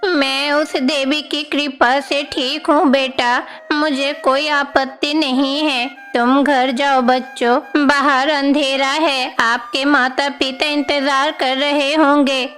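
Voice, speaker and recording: Frail, kind old granny, acted by a woman, high voice, slow pace, storybook dialogue with faint music. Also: little pitch movement, fairly bright tone. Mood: kindly. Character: kindly, frail old village grandmother. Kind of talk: devotional animated story dialogue.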